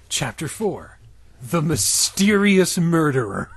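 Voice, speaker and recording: A man's voice: on the high side, sing-song, slow, slight background noise. A man co-hosting a comedy podcast, narrating a bad story with mock seriousness and frequent laughter. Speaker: podcast co-host and narrator of the reading. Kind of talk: casual comedic reading and banter.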